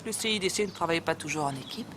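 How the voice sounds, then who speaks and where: A woman dubbing a tough female army crime investigator in a French film, speaking calmly, curtly and firmly. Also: lowish voice, rapid-fire, natural voice. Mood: steady, dry. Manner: calm, curt and firm. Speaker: army lieutenant. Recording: film soundtrack with street noise.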